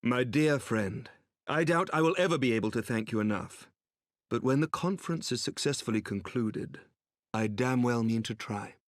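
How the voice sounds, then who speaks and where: A man playing an earnest, resolute young noble leader in a fantasy game, with formal, measured oratory. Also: medium pitch, no echo, studio quality, lightly acted.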